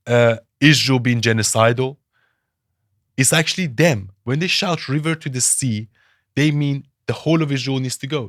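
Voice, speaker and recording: A man's voice: mid-range voice, fairly bright tone, no echo, studio quality. A man from Iran, a political analyst guest, arguing his views emphatically with rhetorical questions in a podcast interview.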